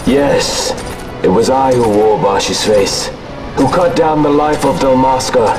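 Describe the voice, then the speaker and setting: An actor voicing a menacing, bitter villain in a video game, goading and declaiming in a theatrical, commanding manner. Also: male voice, mid-range voice, a little slow, lightly acted. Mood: taunting confession. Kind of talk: dramatic video game voice acting. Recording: slightly distant voice under loud music.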